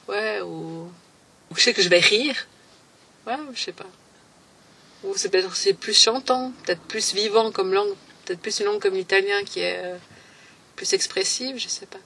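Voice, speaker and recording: A woman's voice: medium pitch, pushed and bright, distant mic. A woman, giving a personal testimony in a hesitant way, with many fillers and phrases that trail off. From Valais, Switzerland. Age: 20s. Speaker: interviewee.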